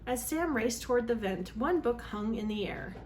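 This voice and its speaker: High-voiced woman reading to children, slight noise. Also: moderate pace. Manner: expressive, dramatic emphasis on sound words. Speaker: children's library read-aloud host. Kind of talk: animated storybook read-aloud for children.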